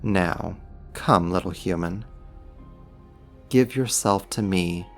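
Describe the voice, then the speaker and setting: Man acting a slow, low, seductive demon. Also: soft background music. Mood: smooth persuasion. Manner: teasing, smug, deliberate delivery. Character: seductive, amused, possessive male archdemon, condescending to humans. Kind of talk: ASMR roleplay acting, intimate second-person monologue.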